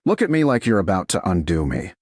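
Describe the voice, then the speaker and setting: A man's voice: low voice, very animated, quick pace, dry recording, studio quality. A man voicing a proud, defiant medieval woman negotiator in an audiobook, with clipped, indignant retorts. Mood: tense, wary. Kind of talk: audiobook character dialogue.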